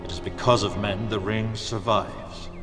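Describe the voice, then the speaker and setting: Man as a deep, grave ancient lord. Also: little pitch movement, unhurried, close to an everyday voice. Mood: bitter gravity. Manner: solemn, bitter. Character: grave, world-weary ancient lord, scornful of men. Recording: reverberant hall, loud music underneath.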